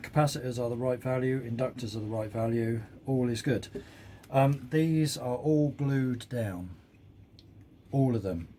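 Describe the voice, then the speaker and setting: Man, mid-pitched, informal and matter-of-fact workshop explainer, faint noise behind. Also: unhurried, mellow. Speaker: host, audio repair hobbyist or technician. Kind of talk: casual hands-on workshop commentary.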